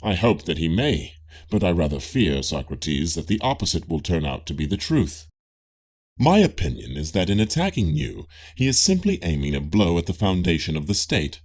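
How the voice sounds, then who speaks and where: Male audiobook reader for classical texts: low, slightly rough voice, lively intonation, measured and formal. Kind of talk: scripted reading of a classical dialogue.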